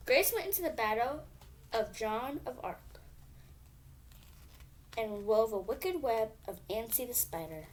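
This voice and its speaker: A woman's voice: on the high side, expressive, unhurried, pauses often, slight background noise. A female reader telling a children's bedtime story expressively, with emphatic phrasing.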